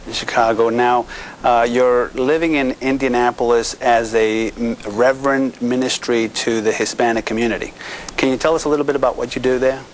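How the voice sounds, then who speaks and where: A middle-aged man hosting a TV studio interview, asking halting questions and echoing his guest's words. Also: mid-range voice, steady tone, a little hiss or hum. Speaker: TV host.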